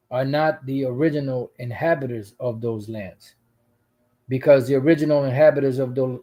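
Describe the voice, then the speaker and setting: Mellow-voiced man rambling through scripture. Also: medium pitch. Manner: rambling, full of "you know" asides and restarts. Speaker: channel host. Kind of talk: casual religious commentary reading scripture.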